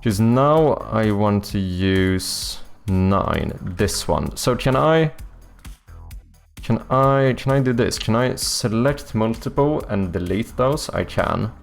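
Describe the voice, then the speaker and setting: A Swedish man with a low, raspy-edged voice chats his way through a live stream, muttering to himself as he tinkers. His English carries a Swedish accent and an unhurried, casual swagger. Faint music plays in the background. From Sweden. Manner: thinks aloud, muttering while working; relaxed, swears casually. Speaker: streamer and game developer. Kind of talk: casual livestream chat.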